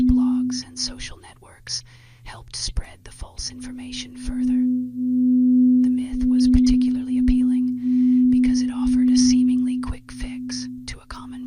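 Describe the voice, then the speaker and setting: A woman reading a scripted bedtime narration in a soothing, measured ASMR style, close to the microphone. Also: high voice. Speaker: ASMR host.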